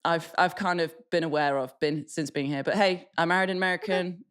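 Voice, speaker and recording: A woman's voice: fairly low pitch, fairly even intonation, a little fast, clean tone. An English woman co-hosting a podcast, chatting casually in a blunt, self-mocking way and swearing freely. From England. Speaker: podcast co-host. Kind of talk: casual chat.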